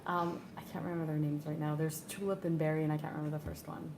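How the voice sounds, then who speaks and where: A woman's voice: low-pitched, level tone, fast, vocal fry. A woman player recapping a tabletop game in casual chat, hesitant with many fillers and giggles. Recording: echoey room, faint noise.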